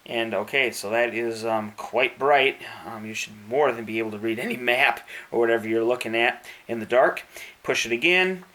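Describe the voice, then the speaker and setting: A man's voice: medium pitch, moderate pace, pushed and bright, poor audio. A man giving a casual hands-on product review, explaining each step methodically and checking in with the listener as he goes.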